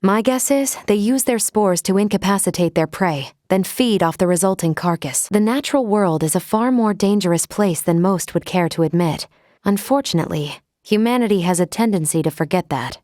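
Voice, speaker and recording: A woman playing a logical, polite, protective android first mate in a video game, speaking with formal, precise, measured diction. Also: medium pitch, darkish tone, no echo, clean studio sound, close to an everyday voice. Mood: analytical, measured. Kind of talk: scripted video game character lines.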